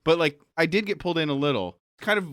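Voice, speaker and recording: A man's voice: fairly high pitch, animated, fast, fairly bright tone, clear, resonant tone, dry recording, studio quality. A man talking casually on a panel as a game master and writer, telling stories with asides in a self-deprecating way.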